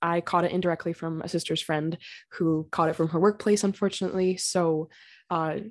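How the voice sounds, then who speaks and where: A young woman hosting her own podcast, talking casually and earnestly, her throat slightly raspy from being sick. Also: fairly low pitch, level tone, fairly quick. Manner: chatty and earnest, with fillers and small laughs. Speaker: podcast host. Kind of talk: casual solo podcast talk. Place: home.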